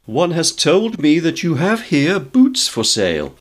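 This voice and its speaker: A man puts on a courteous gentleman from a comic storybook. He is earnest and formal, with a raised pitch. His sentences come out stilted, as if recited from a phrasebook. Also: wildly swooping pitch, measured pace, full character voice. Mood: stilted politeness.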